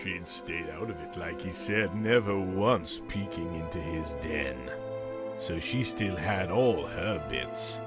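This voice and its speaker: A man's voice: sits low, slow, over loud music. A man narrating a comic story in a hammy, dramatic, emphatic audiobook style. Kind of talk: theatrical comic audiobook reading.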